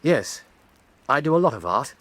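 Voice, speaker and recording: A man's voice: mid-range voice, sing-song, normal speed, a bit bright, no room sound. A man playing an earnest painter who answers hesitantly and stiffly, defending his seriousness. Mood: defensive. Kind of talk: radio drama acting.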